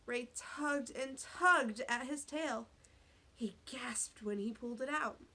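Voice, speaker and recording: A woman teaches young children to read in a bright, fairly high voice. She goes slowly and deliberately through a story and its sounds, spelling words out and putting questions to her listeners. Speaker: elementary school teacher. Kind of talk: read-aloud phonics lesson for young children.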